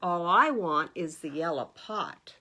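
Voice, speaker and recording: A woman voicing a polite, matter-of-fact goat from a children's picture book, in a measured, formal manner. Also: lowish voice, expressive, sharp tone, exaggerated voice. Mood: conceding.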